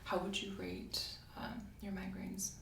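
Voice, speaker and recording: A soft-spoken woman doing an ASMR medical roleplay as a doctor, asking methodical questions in a reassuring way. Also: mid-range voice, fairly even intonation, a little slow, creaky. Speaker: ASMR creator playing a doctor. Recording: roomy, distant mic, faint hiss.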